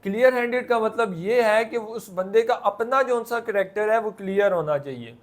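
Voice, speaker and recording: A man's voice: high voice, moderate pace. A man with a Pakistani accent giving an explanatory lecture, in a didactic way that poses questions and then answers them. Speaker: law lecturer.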